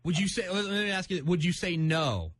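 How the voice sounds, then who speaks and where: A man's voice: high voice, expressive, a little fast, fairly bright tone, no room sound. A man hosting a radio show, chatting on air with a caller in a conversational presenter style. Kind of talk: on-air prank call banter. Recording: radio broadcast audio.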